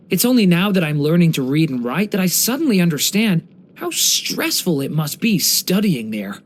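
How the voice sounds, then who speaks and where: An actor voicing a gentle, earnest young dragon boy in a video game, speaking with soft-hearted wonder and care. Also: mid-range voice, a little hiss or hum. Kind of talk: scripted game character voice lines.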